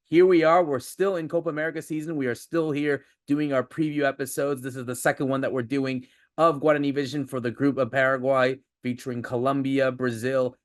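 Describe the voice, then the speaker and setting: A man hosting a football podcast, introducing and questioning his guest in an upbeat, energetic way. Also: medium pitch, fairly quick, no echo, clean studio sound. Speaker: podcast host.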